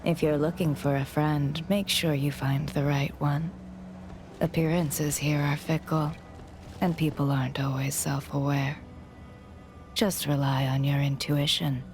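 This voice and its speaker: Enigmatic female guide for a video game, slow and low, measured, aloof, faint music behind. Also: level tone, darkish tone. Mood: calm, mysterious. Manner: cryptic, measured, formal. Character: enigmatic, detached woman calling herself Time, cryptic guide.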